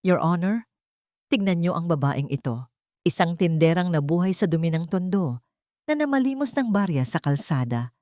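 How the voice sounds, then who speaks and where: A woman's voice: low voice, warm tone, no echo, clean studio sound, natural voice. Woman acting a haughty, sneering courtroom lawyer. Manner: mocking, haughty, code-switching courtroom oratory. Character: sneering, contemptuous lawyer attacking a poor mother in court.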